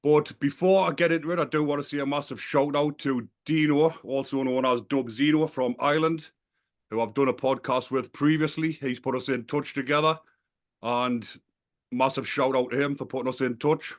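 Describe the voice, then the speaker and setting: A man's voice: medium pitch, steady tone, moderate pace. A man hosting a podcast, opening the show and asking questions in a friendly, upbeat way. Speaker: podcast host. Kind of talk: interview hosting.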